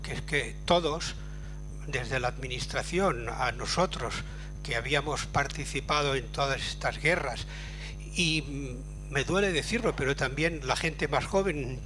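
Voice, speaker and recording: An older man from Barcelona, speaking up from the audience in a symposium discussion, thoughtful and halting with many pauses. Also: a little high. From Barcelona, Spain. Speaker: audience member asking a question. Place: lecture hall. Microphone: room microphone near a computer.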